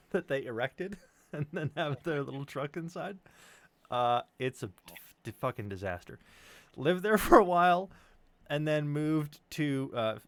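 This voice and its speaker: American man telling stories, fairly low voice. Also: no echo. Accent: neutral American. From United States, Chicago suburbs and Tennessee. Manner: animated, joking, profane, digressive. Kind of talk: casual chat, storytelling.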